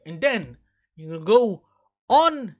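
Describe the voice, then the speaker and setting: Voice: mid-range voice, sing-song, takes it slowly. Mock-stern game streamer, sex unclear, muffled lo-fi sound. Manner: mock-stern, emphatic, repeats phrases. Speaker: video game streamer and host. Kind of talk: rambling let's play commentary.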